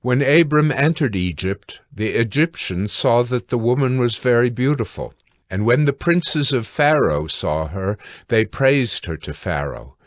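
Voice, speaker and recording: A man narrating scripture from a script in a measured, formal reading manner. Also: mid-range voice, lively intonation, no room sound.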